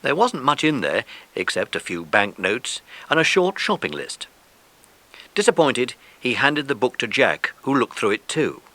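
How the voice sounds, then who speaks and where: A man narrating a children's story in an expressive, dramatic way, as in a scripted audiobook. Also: mid-range voice, unhurried.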